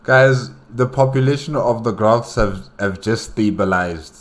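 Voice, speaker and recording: Deep-voiced man, casual reaction commentary. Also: a little slow, faint music behind. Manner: conversational, repeats phrases, speaks to the story's characters. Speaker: reaction host.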